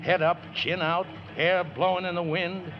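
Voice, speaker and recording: Plucky riverboat skipper, middle-aged, folksy working-class talk, devoted, vintage radio drama, muffled old recording. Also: male voice, high voice, flat delivery, unhurried, background noise. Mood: elated, tender. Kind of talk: 1950s radio drama acting.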